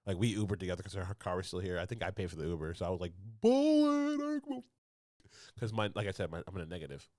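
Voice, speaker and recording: Low-voiced young man chatting loosely. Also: sing-song, fast talker, a little mellow, dry recording, studio quality. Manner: rambling, restarts and laughs often. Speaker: podcast co-host. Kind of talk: casual chat. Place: podcast studio.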